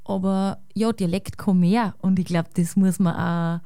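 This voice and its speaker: A woman with a Salzburg Austrian German accent talks in a relaxed, chatty way, circling her thoughts with fillers and tag questions. Her voice is smooth and resonant with a dark, warm tone, recorded close and dry. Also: fairly low pitch, a little slow, no room sound. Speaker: guest musician. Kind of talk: casual conversation.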